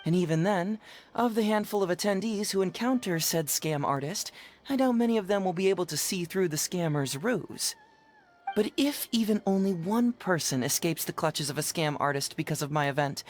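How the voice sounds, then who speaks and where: A man's voice: high voice, animated, normal speed, slight background noise. A man voicing a clever, easygoing young male detective in a video game, with a light, youthful voice and articulate delivery. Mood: earnest, explanatory. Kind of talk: video game dialogue acting.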